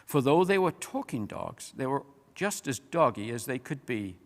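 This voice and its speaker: A man reading a story aloud as an expressive audiobook-style narrator. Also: medium pitch, moderate pace. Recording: long hall-like reverb.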